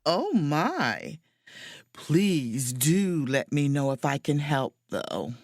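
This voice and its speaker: A woman's voice: deep voice, sing-song, very slow, a little mellow, no echo, studio quality, full character voice. An actress playing an inquisitive woman space officer in a romcom audio drama, speaking expressively. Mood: polite, curious. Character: woman space officer on holiday, keen amateur sleuth. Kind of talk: romcom audio drama dialogue and first-person narration.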